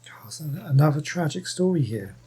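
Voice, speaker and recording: A man's voice: fairly high pitch, lively intonation, normal speed, warm tone, husky, faint music behind. A man giving casual, wry commentary while playing a story game. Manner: wry, joking asides. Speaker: let's play host.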